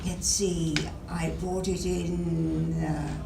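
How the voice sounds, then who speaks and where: A woman's voice: fairly low pitch, little pitch movement, very slow, creaky, heavily acted. An older woman playing a scatterbrained, hesitant woman in an amateur radio theatre reading. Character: scatterbrained woman with a broken old vacuum. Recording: echoey room, distant mic, noisy background.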